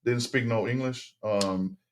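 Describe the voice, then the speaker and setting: A middle-aged man whose first tongue is Tongan speaks at an ordinary mid pitch. He talks like a relaxed podcast guest who circles back and restarts sentences. The tone stays easy and conversational. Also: unhurried, a little hiss or hum. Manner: relaxed, rambling, many fillers and restarts. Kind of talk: casual interview storytelling.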